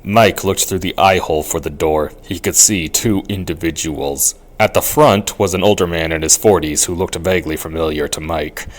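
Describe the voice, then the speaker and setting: A man's voice: low-pitched, moderate pace, hardly any pauses. A man reading scripted story narration for an audio drama.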